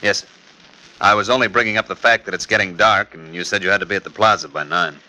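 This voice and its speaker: An actor playing a polite, dutiful chauffeur in a 1940s radio drama. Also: male voice, low-pitched, fairly even intonation, fast talker, bright, forceful tone, poor audio. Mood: deferential. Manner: respectful, explaining meekly. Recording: old 1940s radio broadcast.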